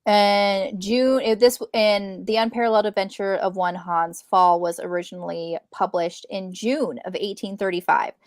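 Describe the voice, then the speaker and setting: A woman talks casually about books as a co-host. Her pitch is average and the sound is dry, with little room echo. She retells things in a chatty, animated way, slipping into quoted asides. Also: moderate pace, hardly any pauses. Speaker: book club co-host. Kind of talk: casual book discussion.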